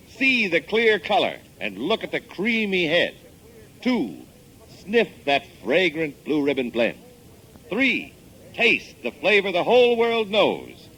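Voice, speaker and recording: A man announcing in a polished, emphatic 1950s radio style, delivering show introductions and sponsor pitches. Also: bright, forceful tone, rough recording. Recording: vintage 1950 radio recording.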